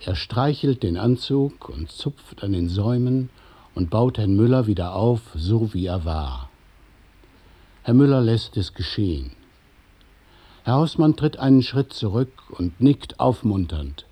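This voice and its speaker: A man narrating a radio play from a script, describing each movement in a measured, precise and detached way. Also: on the low side, expressive, warm tone, slightly rough.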